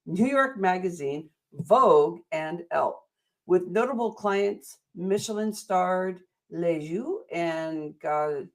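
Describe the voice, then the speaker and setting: A woman's voice: fairly low pitch, animated, slow pace, darkish tone. Enthusiastic American woman hosting an interview. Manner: enthusiastic, rambling, affirming. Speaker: interview host. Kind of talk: upbeat motivational interview.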